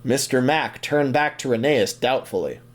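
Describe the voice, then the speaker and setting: A man's voice: medium pitch, lively intonation, moderate pace. A man narrating an audio drama as a scripted story, with theatrical, suspenseful phrasing.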